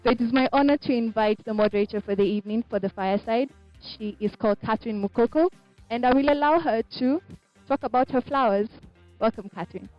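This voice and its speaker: A woman's voice: fairly high pitch, quick pace, no room sound, soft background music. Event host, woman, formal announcing; dry, lo-fi audio. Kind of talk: event introduction.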